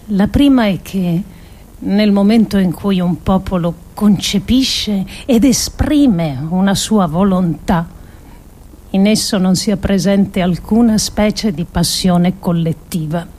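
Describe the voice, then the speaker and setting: A woman performing a scripted radio reading in a measured, deliberate, declamatory way with pauses. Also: medium pitch, warm tone. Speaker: performer reading an adapted essay.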